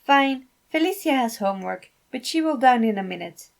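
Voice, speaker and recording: An excited teenage niece as a woman reader plays her, pitched up and bubbly. She is eager and gushing, with a teasing streak, like a lively character in a story reading. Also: normal speed, gravelly.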